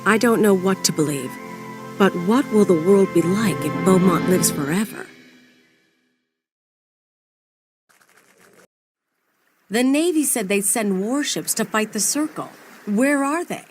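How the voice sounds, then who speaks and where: An actress with an American accent playing a determined, dry-witted adventurer heroine in a video game, plain-spoken and resolute. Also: female voice, mid-range voice, animated, normal speed, soft background music, close to an everyday voice. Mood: urgent, resolute. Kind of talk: dramatic video game voice acting and narration.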